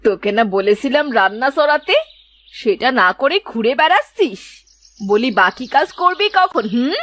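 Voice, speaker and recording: A woman's voice: on the high side, expressive, moderate pace, a bit bright. A woman playing a cruel, bullying aunt in a cartoon tale, scolding and threatening with sarcastic commands. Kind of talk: animated moral tale voice acting.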